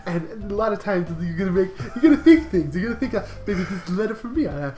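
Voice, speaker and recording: A man voicing a flustered young man from a visual novel as a nervous, halting, stammering neurotic. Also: high voice, expressive, a little mellow. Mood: flustered, defensive. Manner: stammering, halting, self-justifying. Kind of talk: comedic reading of visual novel dialogue. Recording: roomy echo, music behind.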